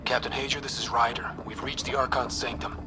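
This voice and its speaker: A man's voice: medium pitch, little pitch movement, a little fast, music in the background, natural voice. An actor playing a confident young male space explorer in a video game, casual and quippy.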